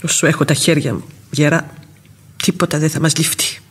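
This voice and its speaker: An actress playing a devoted, outspoken housekeeper and old nursemaid in a radio play, husky-voiced and expressive. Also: female voice, low voice. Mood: sorrowful, resolute. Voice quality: very husky, breathy. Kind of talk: classic radio theatre acting.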